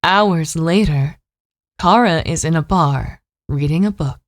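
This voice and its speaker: A woman narrates an audio drama. Her voice sits fairly low, and she reads scripted scene descriptions. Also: a little slow, no echo, clean studio sound.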